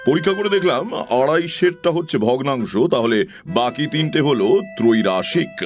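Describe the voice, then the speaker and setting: Casting: male actor as fussy, pompous talking crow clerk for a children's audio tale; hugely animated intonation, music underneath. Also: mid-range voice, moderate pace, lightly acted. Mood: self-important, explaining. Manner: pompous, theatrical, officious delivery. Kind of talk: theatrical children's audio story acting.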